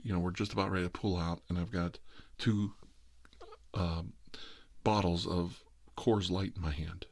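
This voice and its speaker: Low-voiced middle-aged American man storytelling. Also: steady tone, normal speed, pauses often, a little mellow. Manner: wry, self-deprecating, sarcastic. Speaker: host. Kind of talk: casual rambling personal storytelling.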